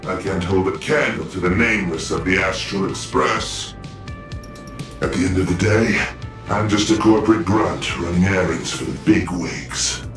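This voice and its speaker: A man's voice: sits low, normal speed, echoey room, distant mic, music in the background, lightly acted. A man voicing a flamboyant, self-mocking corporate security officer in a video game, with a swaggering, glib, theatrical delivery. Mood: wry, joking.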